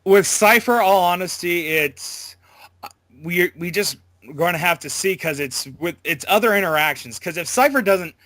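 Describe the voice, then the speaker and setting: A man giving a casual interview as a voice actor, talking in a halting, loosely worded way. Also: high voice, a bit bright, dry recording.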